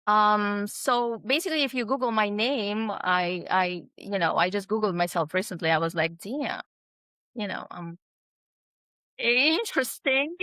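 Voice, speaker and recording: Woman being interviewed, thinks out loud w lots of pauses, normal pitch, very dry recording. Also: no room sound. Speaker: podcast guest, author. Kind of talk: reflective conversational interview.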